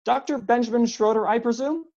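Storybook figure: narrator's take on a huge man with a timid, apologetic manner, pitched up, muffled recording. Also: brisk, warm tone, natural voice. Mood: polite pleading. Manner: apologetic, courteous, pleading. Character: big polite anxious man, professor.

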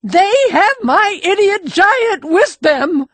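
High, screeching, cranky old woman. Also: animated, slow, a bit bright, dry recording, full character voice. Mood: furious. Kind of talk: audiobook drama acting.

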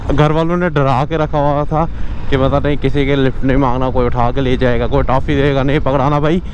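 A man's voice: medium pitch, little pitch movement, fairly quick, a little mellow. A young man chats casually and colloquially, repeating himself, as he vlogs outdoors from a motorbike. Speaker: motovlogger. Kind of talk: casual spoken vlog while riding a motorbike. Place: outdoors on a moving motorbike on the road. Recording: noisy outdoor ride with vehicle noise.

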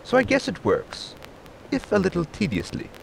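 Peter, a creaky, fussy inventor played by a man. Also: high voice, wildly swooping pitch, moderate pace, slight background noise, full character voice, poor audio. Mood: dry, fussy. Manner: stiff, precise diction with few contractions. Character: Peter, fussy formal inventor crewman, precise and exasperated. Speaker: actor in sci-fi comedy audio drama. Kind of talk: audio sitcom acting.

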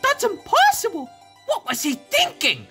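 A performer in a comic dub voicing a bossy, worried bird leader with an emphatic, exclamatory delivery, over loud music. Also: mid-range voice, very animated, moderate pace, natural voice. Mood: startled, dismayed. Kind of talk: comic dub character acting.

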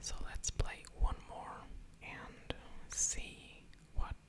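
A woman whispering ASMR game commentary close to the microphone, thinking aloud in a breathy, unvoiced murmur with soft mouth sounds. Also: very slow. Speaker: gaming ASMR video host.

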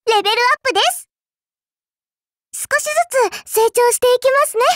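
A woman's voice: very high voice, a little fast, a bit bright, dry recording, studio quality. A woman voicing a dainty, childlike little girl in a mobile game, polite and prim in speech with a teasing, cruel streak. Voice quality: childlike, cutesy. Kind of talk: Japanese mobile game character voice lines.

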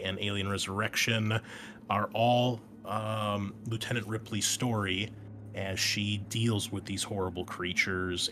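A man's voice: fairly low pitch, a bit bright. A man hosting an informal introductory monologue, talking in an enthusiastic, conversational way as he explains things. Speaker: host and game master. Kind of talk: informal introductory monologue about a sci-fi franchise and tabletop game. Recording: clear background noise.

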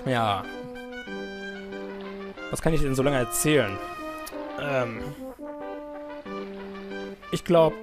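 A man's voice: mid-range voice, drawn-out words, long pauses. Goofy German gamer man with a cold. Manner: goofy, excitable, swears, jokes at the game. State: has a cold. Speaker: let's player. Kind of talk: game commentary, reading dialogue aloud. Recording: music playing behind voice.

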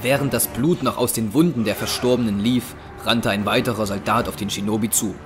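Low-voiced male storyteller over music. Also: brisk. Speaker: narrator. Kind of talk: dramatic scripted story narration.

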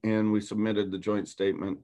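A man's voice: on the low side, flat delivery, a little fast, dark tone. A man chairing a board meeting over a video call, walking through the agenda item by item in a businesslike way.

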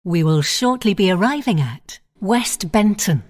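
Woman, onboard train announcer; slow, even delivery with a lively rise and fall, station-by-station phrasing. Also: mid-range voice. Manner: measured, clipped station-by-station phrasing.

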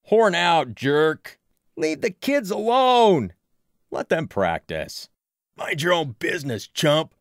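Man doing a loud, burly small-town sports fan. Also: mid-range voice, sing-song, moderate pace, no echo, clean studio sound, natural voice. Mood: confronting. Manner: loud, punchy, colloquial. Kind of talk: audiobook character voice.

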